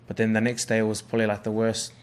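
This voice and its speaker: A man's voice: on the low side, monotone, fast, clean tone. A young man from New Zealand with a New Zealand accent, chatting casually about his life as a rugby league player, rambling and laid-back. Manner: laid-back, rambling, lots of fillers and swearing. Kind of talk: casual podcast chat.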